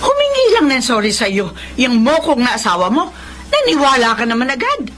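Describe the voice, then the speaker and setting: A woman's voice: fairly high pitch, wildly swooping pitch, moderate pace, a bit bright, slight background noise. An actress playing a scolding, protective older mother and grandmother in a radio drama, speaking in an emphatic, nagging way. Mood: exasperated, pleading.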